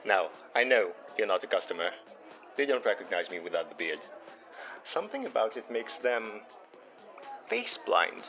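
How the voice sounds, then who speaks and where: An actor playing a wry, guarded psychic-for-hire with dry, hesitant banter in an audio drama. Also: male voice, on the low side, big pitch swings, moderate pace, pauses often, fairly bright tone, dull audio. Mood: wry, intrigued. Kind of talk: naturalistic horror fiction podcast acting.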